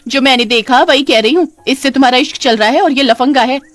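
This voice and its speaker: A woman acting a shrill, nosy neighbour auntie. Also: quick pace, close to an everyday voice. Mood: mocking. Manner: shrill, mocking. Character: nosy, accusing middle-aged neighbour auntie. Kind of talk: Hindi cartoon story voice acting.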